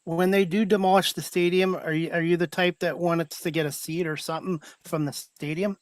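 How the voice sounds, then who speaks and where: A man hosts a talk show and puts friendly, straightforward questions to his guest. His voice sits a little high, and the recording is dry. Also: steady tone, brisk, dry recording. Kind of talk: interview questions.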